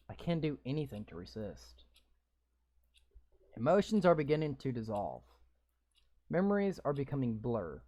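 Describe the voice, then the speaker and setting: A man reading a game's story text aloud in a slow, deliberate way, breaking off for blunt, sweary, sarcastic asides. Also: medium pitch, a little mellow, slightly rough. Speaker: gamer reading a visual novel aloud. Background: game music.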